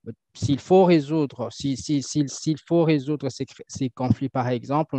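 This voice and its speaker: A man answering interview questions and explaining things, often pausing with fillers and restarting his sentences. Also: medium pitch, darkish tone, no echo. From French-speaking country. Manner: hesitant, many fillers and restarts. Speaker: guest. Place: Toronto. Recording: dull lo-fi dry sound.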